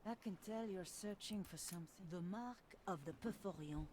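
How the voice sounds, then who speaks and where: Woman acting mysterious knowing madam, game drama, measured, medium pitch, faintly noisy background. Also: a little mellow, close to an everyday voice.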